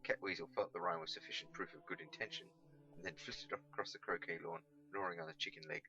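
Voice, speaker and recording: A man narrates a storybook with a lively, widely moving pitch. His voice has some gravelly creak at the edges as he reads the scripted prose. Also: fast talker, muffled recording. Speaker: amateur audiobook reader.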